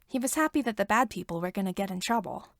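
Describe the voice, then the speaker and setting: A woman reading fan fiction aloud as an expressive storyteller with wry asides. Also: mid-range voice, fast, gravelly, no room sound, clean studio sound. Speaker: podfic reader.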